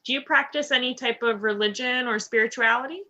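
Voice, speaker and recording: A woman's voice: fairly high pitch, a little fast, pushed and bright, muffled recording. A woman hosting a casual podcast interview, upbeat and chatty, with plenty of fillers and "like". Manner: upbeat, lots of fillers and 'like'. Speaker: podcast host.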